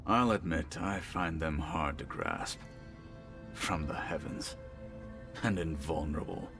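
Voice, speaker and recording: Deep gravelly stoic warrior. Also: male voice, over music, exaggerated voice. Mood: measured, earnest. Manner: formal, measured, solemn speech. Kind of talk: dramatic video game acting.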